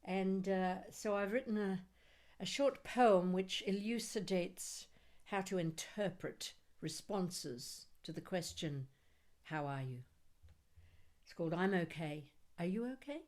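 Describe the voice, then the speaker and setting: A woman reading her own poetry aloud, with deliberate, measured phrasing, dramatic pauses and short chatty asides. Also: lowish voice, darkish tone. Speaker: poet reading own work.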